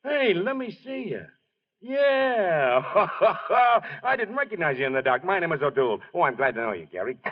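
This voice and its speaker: Gruff beat cop, lively pitch swings, old radio drama style, dull vintage recording. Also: male voice, normal speed. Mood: delighted, bossy. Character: gruff Irish-named beat cop, turning friendly. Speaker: police officer in a radio play. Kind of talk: 1940s radio drama acting.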